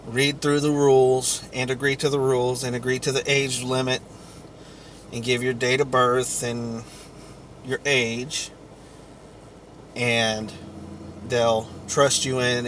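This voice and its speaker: A man giving a rambling personal account as a vlogger, halting between short phrases. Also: medium pitch, a bit bright, slight background noise.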